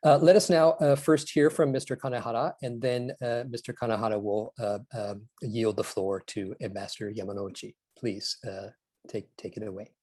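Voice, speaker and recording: Moderator, low voice, filler-heavy. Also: male voice, fairly even intonation, normal speed, a little mellow, no room sound. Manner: frequent "uh" fillers, repeated stock phrases. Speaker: moderator introducing speakers. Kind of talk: formal introductions.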